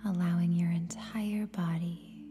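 A woman with a soft, breathy, near-whispered voice guides a meditation in a soothing, gentle way, leaving long pauses between phrases. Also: fairly low pitch, steady tone, noisy background. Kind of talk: guided meditation, scripted narration. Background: meditation music with singing bowl tones.

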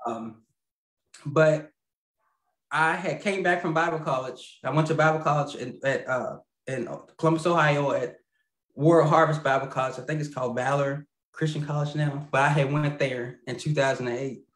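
Male guest, 30s, rambling storyteller, lots of fillers, slightly high voice, echoey video-call audio. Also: fairly even intonation, normal speed, fairly bright tone. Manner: rambling, laughs mid-sentence, many fillers and restarts. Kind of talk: casual storytelling. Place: home room.

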